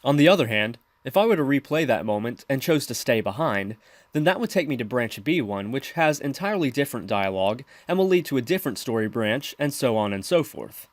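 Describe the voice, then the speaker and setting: A man's voice: medium pitch, lively intonation, fairly quick, dry recording. Man narrating a tutorial, close and dry. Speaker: tutorial host. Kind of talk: scripted software tutorial narration.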